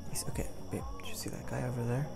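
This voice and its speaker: A man plays a caring, goofy young boyfriend in an intimate ASMR roleplay. His voice has a gravelly fry at an ordinary male pitch, and he rambles haltingly, with music playing behind him. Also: huge pitch swings, heavily acted. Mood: amused, chatty. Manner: rambling, halting, chuckling storyteller.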